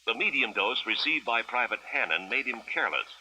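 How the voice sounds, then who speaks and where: A man's voice: medium pitch, expressive, fairly quick, bright, forceful tone, a little hiss or hum, low-quality recording. An American man reading formal, clinical narration for an educational film in the style of the 1960s, on an old film soundtrack.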